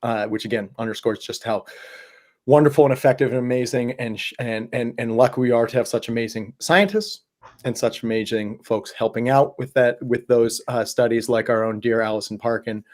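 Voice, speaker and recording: Casual male livestream host, slightly hoarse, talkative and rambly, mid-range pitch. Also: fairly quick. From Southwest Ohio. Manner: chatty, rambling with many fillers and restarts.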